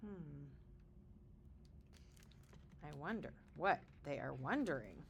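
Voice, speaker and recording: A woman reading a picture book aloud to young children like a teacher, expressive, with dramatic pauses and wondering asides. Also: low voice, creaky, a little hiss or hum.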